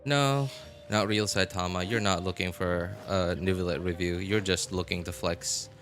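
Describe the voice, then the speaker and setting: Low-pitched man chatting on a game stream. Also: fairly even intonation, moderate pace, a bit bright, soft background music. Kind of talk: casual live stream chat while gaming, answering viewers.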